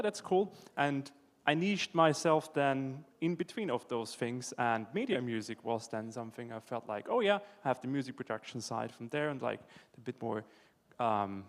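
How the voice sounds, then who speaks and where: A man's voice: medium pitch, animated, moderate pace. A man with an Austrian accent, a native German speaker, talking casually as a guest in a live interview. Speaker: interview guest, video game music composer. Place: indoor event hall before an audience. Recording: reverberant hall.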